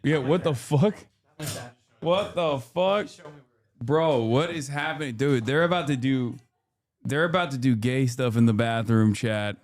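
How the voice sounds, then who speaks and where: A man's voice: medium pitch, a little slow. A man in his thirties doing casual live-stream commentary, reacting to a video with crude, teasing jokes for his chat.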